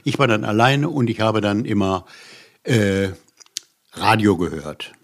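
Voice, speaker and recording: Gravelly, husky man in his seventies reminiscing. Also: fairly low pitch, animated. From western Germany. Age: 70s. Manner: halting, searching for words, self-interrupting. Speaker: interview guest, musician and writer. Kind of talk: casual personal recollection.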